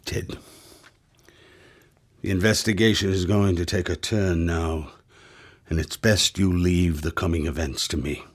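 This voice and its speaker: A man acting in a radio drama as a grave, resolute older doctor and family friend, with a formal, deliberate delivery. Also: low voice, fairly even intonation, slightly rough. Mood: firm, guarded. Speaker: actor, also narrating.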